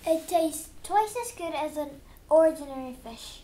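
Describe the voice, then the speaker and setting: Woman putting on a high-voiced, scheming cruel stepmother. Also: measured pace, mellow. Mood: gloating. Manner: crooning, gloating. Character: cruel, scheming stepmother, feigning sweetness, gloating. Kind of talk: storybook read-aloud for children.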